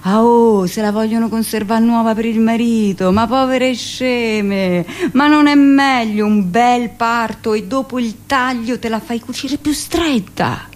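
A woman's voice: a little high, a little slow, few pauses, pitched above the performer's own voice, close to an everyday voice. A woman voicing gossipy, knowing older midwives in a radio monologue, emphatic and exclamatory. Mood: catty. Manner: exclamatory, emphatic, advice-giving.

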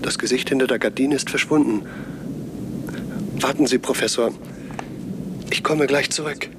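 An actor playing a troubled, resolute scout in a radio play, speaking in a brooding, guarded, insistent way. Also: male voice, mid-range voice, moderate pace, close to an everyday voice. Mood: watchful. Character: troubled, resolute male scout reporting from forbidden island. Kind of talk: German radio play acting. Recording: 1970s radio drama, noisy outdoor ambience.

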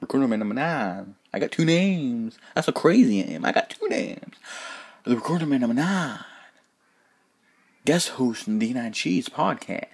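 A man's voice: medium pitch, expressive, normal speed, creaky, husky. A man hosting a solo podcast, rambling in circles and repeating himself, swearing casually, after almost a full day without sleep. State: sleep-deprived, up almost 24 hours. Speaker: podcast host.

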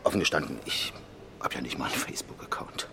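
An actor playing a middle-aged conservative politician in a radio drama, reserved and courteous, speaking in formal, hesitant phrases. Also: male voice, extremely low pitch, animated, fast, vocal fry, bright, forceful tone, a little hiss or hum, heavily acted. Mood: reserved, disapproving. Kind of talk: naturalistic radio drama dialogue.

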